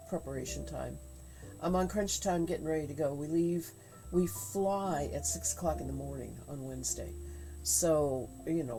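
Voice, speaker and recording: A woman with a head cold chatting casually on a livestream while she spins yarn at home, rambling with many fillers and halting pauses. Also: deep voice, rough recording. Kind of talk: casual chat while spinning. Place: home craft room. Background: faint quiet music.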